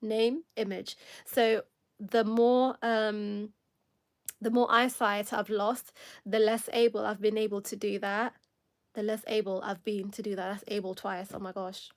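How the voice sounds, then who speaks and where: A woman's voice: medium pitch, little pitch movement, a little slow. Chatty Kenyan woman, rambling and laughing. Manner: rambling, self-correcting, laughs often. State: tired. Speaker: livestream host. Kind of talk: casual livestream chat.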